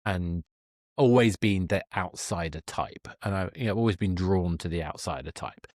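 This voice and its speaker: Hesitant, low-voiced male podcast host. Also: normal speed, darkish tone, no room sound, clean studio sound. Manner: hesitant, self-qualifying, reflective. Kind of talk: conversational interview.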